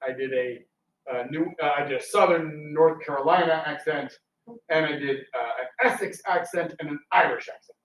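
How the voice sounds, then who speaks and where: Higher-pitched man talking in a roomy space. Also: normal speed, a bit bright. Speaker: radio theatre actor and panel speaker. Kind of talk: casual, rambling talk to an audience. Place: indoor talk in a roomy space. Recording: roomy, distant, noisy live room recording.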